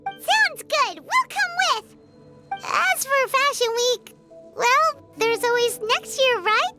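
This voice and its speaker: A woman voicing a tiny, excitable female fairy companion with a childlike, earnest, exclamatory manner in a video game. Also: very high voice, slow pace, full character voice. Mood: excited. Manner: refers to self in third person, exclamatory. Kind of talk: animated video game voice acting. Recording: music behind the voice.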